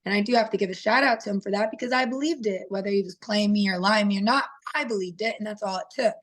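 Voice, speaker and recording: Raspy woman in her thirties, interview guest, candid and earnest, mid-pitched voice. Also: fast talker. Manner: open and earnest, with frequent fillers. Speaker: interview guest, professional fighter. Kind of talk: candid personal storytelling.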